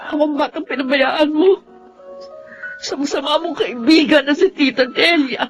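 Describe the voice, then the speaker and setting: A woman's voice: high-pitched, normal speed, natural voice. A woman with a Filipino accent acting a wronged, bitter young daughter in a melodrama. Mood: bitter, anguished. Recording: loud dramatic music behind.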